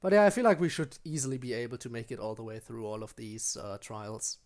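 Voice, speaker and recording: A man with a mid-pitched voice gives casual live commentary on a video game. He thinks out loud as he plays, in the offhand style of a streamer talking through each move. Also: brisk. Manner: thinking aloud while playing.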